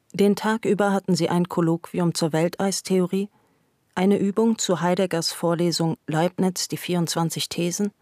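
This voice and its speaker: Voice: medium pitch, a little mellow, no room sound. Dry, close literary narrator. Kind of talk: scripted literary narration for a radio play.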